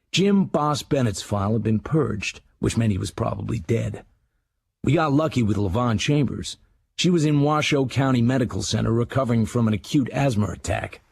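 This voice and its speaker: An actor playing a haunted middle-aged crime writer, narrating in clipped hard-boiled style with a gravelly, husky voice. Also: male voice, mid-range voice, darkish tone, no room sound. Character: haunted middle-aged male crime writer investigating his mother's murder. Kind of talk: hard-boiled first-person radio drama narration, clipped sentences.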